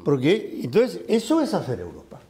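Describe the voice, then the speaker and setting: Older man, lively and mellow. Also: on the high side, moderate pace, a little hiss or hum. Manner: thoughtful, with frequent fillers and hesitations. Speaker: retired professor. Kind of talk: conversational discussion.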